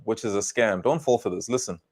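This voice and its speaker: A man's voice: fairly low pitch, a little fast, a little mellow, slight background noise. A South African man delivering heated political commentary, scolding and repeating blunt warnings to his viewers. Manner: emphatic, scolding, repetitive warnings.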